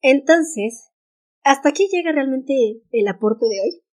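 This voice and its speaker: A woman's voice: sits high, animated, a little slow. A woman with a Mexican Spanish accent co-hosting a casual history podcast, reading notes aloud and laughing easily. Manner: reads notes aloud, stumbles on English titles, laughs easily. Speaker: podcast co-host.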